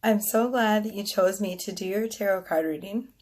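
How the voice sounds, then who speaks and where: A woman's voice: mid-range voice, moderate pace, a little mellow. A woman with a scratchy, congested voice reading tarot casually to a phone camera, rambling with many ums and whispered asides. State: congested from allergies. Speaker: tarot reader.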